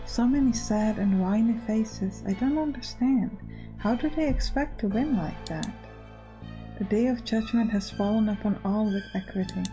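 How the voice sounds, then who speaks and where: A woman's voice: mid-range voice, dark tone, close to an everyday voice, poor audio. A woman voicing a cold, imperious survivor of a death game in a visual novel, solemn and scornful.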